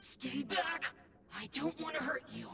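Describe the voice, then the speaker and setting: Gravelly, frightened young dragon. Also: female voice, high voice, expressive, full character voice. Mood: frightened, defensive. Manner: anguished, defensive, halting. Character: frightened, ashamed young dragon, lonely and cursed. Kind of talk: dramatic video game voice acting. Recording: distant, noisy, long cavernous reverb.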